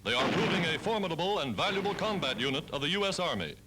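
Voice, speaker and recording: Old 1940s newsreel guy, american, high voice, dramatic delivery, music playing behind him. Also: sing-song, fast. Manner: emphatic, dramatic announcer delivery. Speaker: newsreel narrator. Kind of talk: 1940s newsreel narration, scripted.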